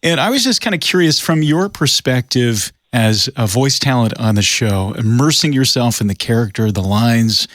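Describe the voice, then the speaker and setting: A man's voice: medium pitch, animated, moderate pace, few pauses, a bit bright, no room sound, studio quality. A man hosting a radio interview in a casual, conversational style. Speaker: radio show host.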